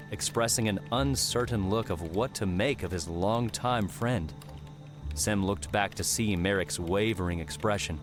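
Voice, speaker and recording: Male audiobook narrator: scripted storytelling, fairly low register, clear music bed.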